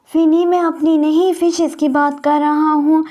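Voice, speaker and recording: A woman's voice: high-pitched, flat delivery, measured pace, dark tone. A woman voicing a worried, protective young father fish in a cartoon, speaking earnestly. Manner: earnest, urgent pleading.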